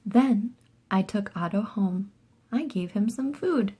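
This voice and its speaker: Slow, husky woman reading to children. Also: mid-range voice, expressive, mellow. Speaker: children's story reader. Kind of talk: expressive read-aloud narration for young children.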